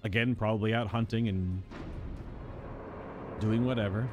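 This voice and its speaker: A man's voice: lowish voice, fairly even intonation, a little slow, over music. A man giving casual live-stream commentary on a game's story, chatting and speculating in asides between lines he reads aloud.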